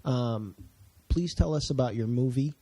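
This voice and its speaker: A man's voice: medium pitch, measured pace, warm tone, dry recording. A man hosting a podcast, asking questions in an easygoing, chatty way. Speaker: podcast host. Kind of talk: casual interview talk.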